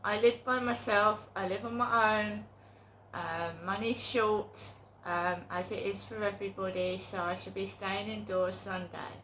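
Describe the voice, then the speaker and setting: A woman's voice: mid-range voice, fairly even intonation, a little slow, a bit bright, slight background noise, low-quality recording. A middle-aged woman with a cold and sore throat, talking in a rambling, hesitant monologue full of ums and restarts. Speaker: vlogger.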